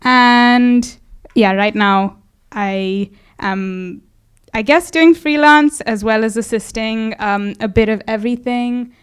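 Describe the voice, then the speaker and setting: A woman's voice: on the high side, slow pace, clean tone. A young woman from India giving a casual talk about her photography, hesitant and full of fillers. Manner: hesitant, many fillers, light laughs. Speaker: guest speaker, photographer. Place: event space.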